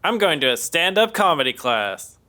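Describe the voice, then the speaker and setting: Bright, high-pitched, upbeat young man. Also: big pitch swings, moderate pace. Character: upbeat, clueless, cocky young man, Austin, a sitcom roommate. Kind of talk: sitcom acting.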